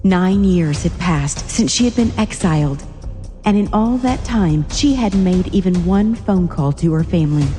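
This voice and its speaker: A woman's voice: on the low side, expressive, a little slow, warm tone, in a large hall, faint music behind. A woman reading scripted narration for a dramatic story.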